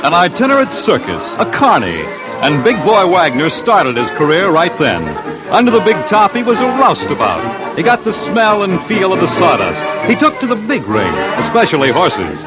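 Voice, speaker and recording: Vintage male announcer, punchy and clipped. Raised pitch, very animated, heavy music bed. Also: moderate pace, rough recording. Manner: punchy, dramatic, clipped phrases. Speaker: announcer and narrator. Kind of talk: 1950s radio announcing, scripted narration. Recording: old radio broadcast under loud music. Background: loud music.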